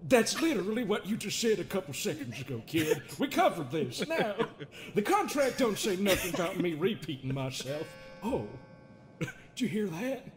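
A man's voice: high voice, sing-song, normal speed. A man voicing an unhinged, sardonic therapist with folksy menace, theatrical and mocking, in an improvised comedy dub of a horror game. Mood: sly, amused.

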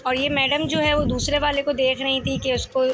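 Chatty high-voiced Karachi Urdu woman vlogger. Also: level tone, moderate pace, a bit bright. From Pakistan. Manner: chatty, leans on filler "jo hai" constantly. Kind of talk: voice-over narration of a mall outing. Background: music.

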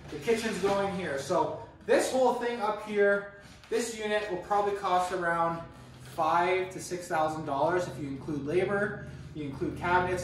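A young man gives an upbeat, fairly high-voiced walkthrough of his rental renovation, speaking straight to viewers. The empty rooms ring with echo, and he stands a few steps back from the camera. A faint hiss runs behind him. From Canada. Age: young adult. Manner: upbeat, addresses viewers as guys. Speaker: real estate investor vlogger. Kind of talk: walkthrough tour talking to camera. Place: empty apartment under renovation, indoors. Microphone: camera held by another person, a few steps away. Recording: echoey empty room, mic at a distance.

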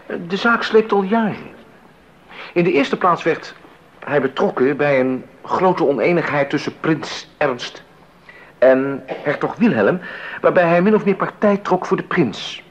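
An actor playing a deferential, well-informed court musician in a 1980s radio play, speaking formally and with measured care. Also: male voice, a little high, lively intonation. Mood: discreet, knowing. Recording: dull 1980s radio recording.

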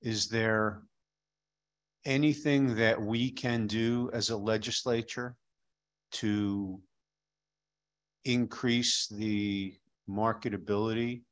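A middle-aged man questioning a witness at a legislative hearing, probing and skeptical, speaking into a desk microphone in a hearing room. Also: on the low side.

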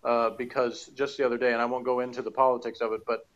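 A man's voice: medium pitch, level tone, fast, dull audio. A man in his forties, a podcast co-host, chatting casually from a home office in a rambling, hesitant way with many fillers. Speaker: podcast co-host reviewing audio dramas. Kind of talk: casual chat. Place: home office with bookshelves, indoors.

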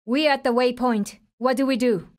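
A woman's voice: fairly high pitch, steady tone, normal speed, natural voice. A woman playing a tough, determined young survivor of a zombie apocalypse in a video game, speaking in terse, urgent, businesslike lines. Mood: focused, businesslike. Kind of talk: video game voice lines, short dramatic callouts.

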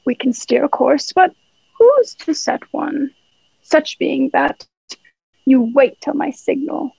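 A woman playing a cunning, commanding male pirate in an amateur radio play, speaking salty dialect in a gravelly voice. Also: sits high, slow pace, a little mellow, no room sound, heavily acted. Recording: dull, lo-fi home recording.

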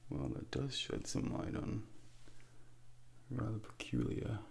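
Slow, gravelly, hushed ASMR man. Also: medium pitch, fairly even intonation. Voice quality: breathy, gravelly soft voice. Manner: slow, deliberate, hushed, hypnotic guiding. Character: the Devil, suave male tempter, calm and controlling. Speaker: ASMR performer in a devil role play. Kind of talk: ASMR role play, soft-spoken and whispered. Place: dark indoor studio, close up. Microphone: close binaural microphone.

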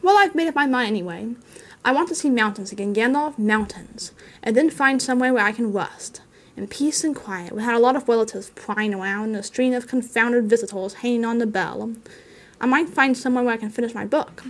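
A woman's voice: fairly high pitch, fairly quick, close to an everyday voice. A woman voicing an elderly male hobbit, stubborn, touchy and possessive, in an emphatic, testy storybook reading. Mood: weary, wistful. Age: older.

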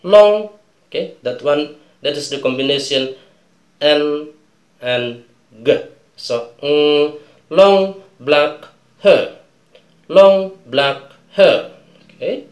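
A man in his thirties with an Indonesian accent, teaching English vocabulary and pronunciation, drilling words slowly and tagging "okay?" onto his points. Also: on the high side, expressive, roomy sound. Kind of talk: slow pronunciation lesson. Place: small indoor room before a colored backdrop.

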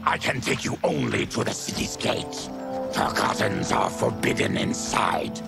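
An actor playing a stern, honorable fanged mutant warrior in a video game, terse and solemn, in a gravelly growl. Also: male voice, on the low side, wildly swooping pitch, unhurried, over loud music, full character voice. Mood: grave, guarded. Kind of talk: dramatic game cutscene acting.

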